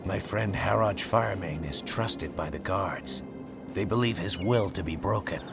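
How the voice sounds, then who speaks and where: Deep gravelly centaur warrior. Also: male voice. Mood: confident, sly. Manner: measured, formal, terse phrasing. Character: proud, gruff, wry male centaur warrior. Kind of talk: dramatic video game cutscene acting. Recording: loud music behind.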